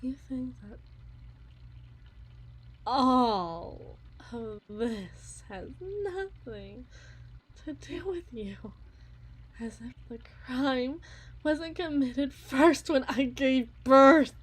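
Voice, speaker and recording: Slow, bitter, unhinged wife, acted by a woman. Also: high voice, lively intonation, breathy edge, full character voice, poor audio. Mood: bitter, defiant. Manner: slow, theatrical, drifting between whispers and intense outbursts. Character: bitter, unhinged yandere wife and resentful mother, anguished. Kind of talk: ASMR roleplay, dramatic monologue.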